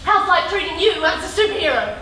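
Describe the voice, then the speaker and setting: A woman acts out a bumbling, animated reporter hunting superheroes in a live stage comedy. Her high voice carries theatrically from far off in a hall full of echo. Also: fast talker, full character voice. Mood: excited. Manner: animated, theatrical, addressing the audience. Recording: echoey noisy hall with audience, from far off.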